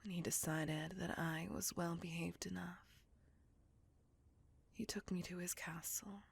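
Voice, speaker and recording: Breathy, whispering melancholy vampire woman, acted out. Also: fairly low pitch, steady tone, full character voice. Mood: reflective, subdued. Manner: slow, intimate, confessional storytelling. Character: beautiful, melancholy, bitter female vampire recounting her past. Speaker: voice actor in audio roleplay. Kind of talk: whispered ASMR roleplay monologue.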